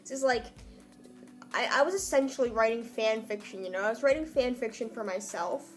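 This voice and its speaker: A woman's voice: on the high side, a little fast, soft background music. A young woman chatting casually in a comedic vlog, with wry, self-mocking asides. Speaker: vlogger. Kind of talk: casual comedic vlog chat, reading own old writing.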